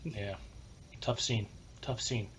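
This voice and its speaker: A man's voice: lowish voice, steady tone, slow pace, a bit bright, slightly rough. A man hosting a podcast in casual conversation, on a slightly muffled recording. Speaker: podcast host. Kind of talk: casual chat.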